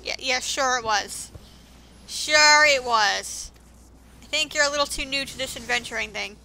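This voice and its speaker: Bright, high-pitched woman doing game commentary. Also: a little slow, creaky, slight background noise, rough recording. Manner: sarcastic, mildly profane asides. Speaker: gaming video host.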